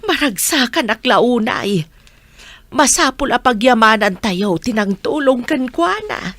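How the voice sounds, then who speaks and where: A woman plays an earnest family woman in an audio drama. Her high voice is lively in pitch and unhurried, closely recorded and dry. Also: dry recording. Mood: fond.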